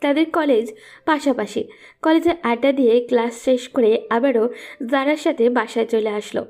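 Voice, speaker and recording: A woman's voice: a little high, moderate pace, a little mellow. A woman narrating a scripted romantic audio story.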